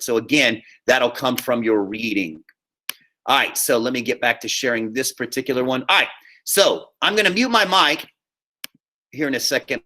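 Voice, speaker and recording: A man teaching a high school class online, giving informal step-by-step instructions and often checking that students follow along. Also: sharp tone. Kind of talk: live online class instruction, screen-share walkthrough.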